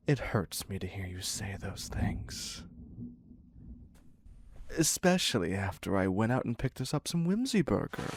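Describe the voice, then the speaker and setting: Man playing a husky, theatrical lunatic captor. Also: fairly low pitch, dry recording, studio quality, full character voice. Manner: wry, mock-courteous, wheedling and dramatic. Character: theatrical, clingy male serial killer doting on his captive therapist. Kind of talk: scripted dark romance audio roleplay.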